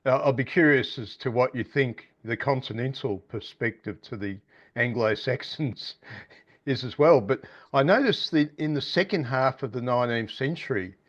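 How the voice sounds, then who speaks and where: A man's voice: mid-range voice, moderate pace. A man, an audience member on a video call, asking a question in a chatty and wry way. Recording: dull lo-fi video call audio.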